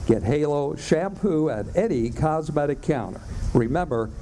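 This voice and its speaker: A man's voice: on the high side, lively intonation, moderate pace, dark tone, slight background noise. An American man reading scripted old-time radio advertisements in an upbeat, salesmanlike announcer style. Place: live amateur stage performance in a cafe.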